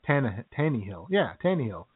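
A man co-hosting a radio show, relaxed and chuckling through casual banter. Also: mid-range voice, normal speed, breathy edge. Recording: tinny phone-like sound.

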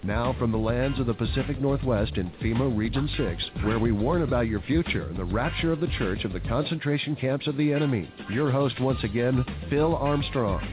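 A man delivers a dramatic, ominous opening announcement for a radio show. His voice is thin and tinny, with music playing clearly underneath. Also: mid-range voice, brisk, hardly any pauses.

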